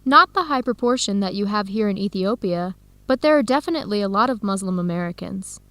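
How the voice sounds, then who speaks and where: A woman playing a warm, curious American researcher in an educational radio drama, speaking in a conversational, inquisitive way. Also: medium pitch, animated, fairly quick, no echo, lightly acted. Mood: thoughtful.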